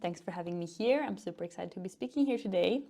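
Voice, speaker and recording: A woman's voice: on the low side, animated, quick pace, vocal fry, dark tone. A woman from Norway, presenting a technical conference talk in an upbeat, informal explaining way. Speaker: conference speaker, front-end developer and educator.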